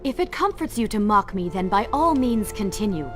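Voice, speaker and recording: An actress voicing a proud, commanding young princess in a video game, speaking briskly and directly. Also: female voice, medium pitch, sing-song, close to an everyday voice. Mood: determined. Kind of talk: game cutscene acting. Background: game music.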